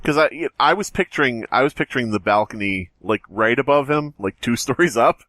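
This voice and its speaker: A man's voice: medium pitch, lively intonation, brisk, a bit bright, no echo. A man co-hosting a podcast, bantering casually and reading stories aloud with a joking, sweary manner. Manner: profane, joking. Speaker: podcast co-host.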